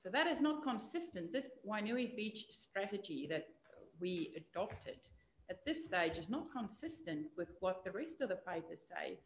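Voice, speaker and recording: A woman's voice: medium pitch, big pitch swings, normal speed, reverberant, slight background noise. A woman with a New Zealand accent, a councillor raising concerns in a meeting, forthright.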